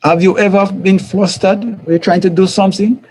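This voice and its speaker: A man's voice: high voice, steady tone, moderate pace, a little mellow. A man with a Jamaican accent giving an informal church teaching talk, conversational and repetitive, often chuckling and acting out quoted voices. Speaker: teacher of a church life series.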